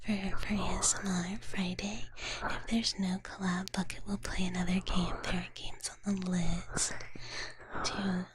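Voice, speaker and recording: A woman streaming ASMR in a soft, gentle whisper, often repeating her phrases, her voice a little tired. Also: fairly low pitch, little pitch movement, unhurried. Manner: speaks of herself in third person. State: voice tiring, slightly strained. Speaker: live streamer hosting as a virtual character.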